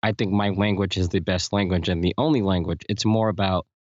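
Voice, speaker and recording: A man hosting a podcast, talking reflectively and at a measured pace, with many "you know" fillers. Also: sits low, fairly even intonation, no room sound, lo-fi sound. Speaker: podcast host. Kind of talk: casual panel chat.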